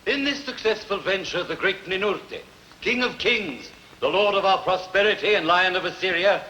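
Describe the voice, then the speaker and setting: An actor playing a formal royal herald in a dubbed ancient epic, proclaiming in a declamatory, ceremonial way. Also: male voice, sits high, expressive, moderate pace, pushed and bright, roomy sound, slight background noise, low-quality recording. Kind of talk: dubbed historical epic film acting.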